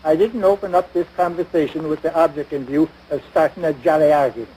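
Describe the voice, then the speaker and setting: A man's voice: a little high, steady tone, quick pace, dark tone, natural voice. An actor playing a pompous, long-suffering American husband and father in a domestic comedy, speaking formally with dry sarcasm. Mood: testy. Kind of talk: domestic radio-style situation comedy. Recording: noisy vintage broadcast recording.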